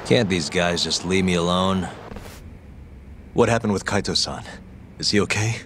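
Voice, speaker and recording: An actor voicing a weary, sardonic detective in a video game, with a dry, understated delivery. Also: male voice, sits low, normal speed, noisy background, natural voice. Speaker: detective, main character. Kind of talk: video game dub acting. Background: music.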